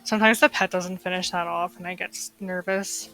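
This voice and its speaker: A woman's voice: lowish voice, steady tone, fairly quick, bright, forceful tone, soft background music, rough recording. A woman with a slightly nasal, stuffy voice from being sick, chatting casually over her gameplay with lots of fillers. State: recovering from illness, slightly stuffy. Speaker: gaming streamer.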